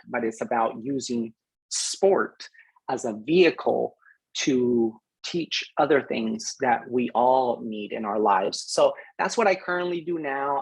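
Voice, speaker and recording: A middle-aged man from Peru giving a thoughtful, unhurried message to graduating students, with frequent pauses and fillers. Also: mid-range voice, slightly rough, rough recording. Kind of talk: recorded address to graduating students.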